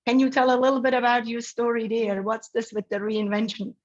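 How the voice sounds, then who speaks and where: A woman's voice: fairly high pitch, little pitch movement, fairly quick. Upbeat woman hosting an online panel. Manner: upbeat, enthusiastic presenter. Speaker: webinar moderator. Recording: dull lo-fi online audio.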